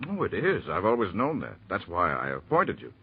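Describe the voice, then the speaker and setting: A man's voice: sits low, wildly swooping pitch, fairly quick, a little hiss or hum, full character voice. An actor playing a kindly older senior doctor in a 1940s radio drama, fatherly, folksy and a little hesitant. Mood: warm, weary, reassuring. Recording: tinny old radio recording.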